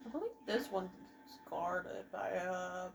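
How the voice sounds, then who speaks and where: A woman's voice: fairly low pitch, steady tone, slow pace, creaky, a little hiss or hum. A woman giving casual, off-the-cuff commentary as she plays a video game. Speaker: gamer, host of a let's play.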